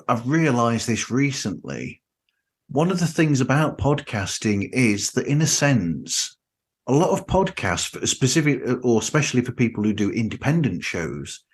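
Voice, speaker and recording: A man hosting a podcast, musing in a roundabout, conversational way. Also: lowish voice. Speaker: podcast host. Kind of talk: casual discussion.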